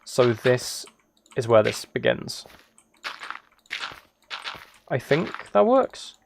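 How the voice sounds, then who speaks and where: A man chatting casually as a live game streamer, musing aloud in a relaxed, low-key way. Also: extremely slow, gravelly, background noise. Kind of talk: casual chat over Minecraft gameplay.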